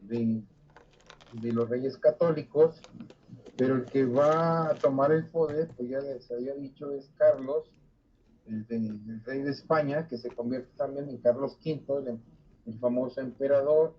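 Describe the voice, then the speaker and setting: An older man giving a university lecture, halting with frequent fillers and restarts. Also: mid-range voice, a little hiss or hum. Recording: dull lo-fi recording.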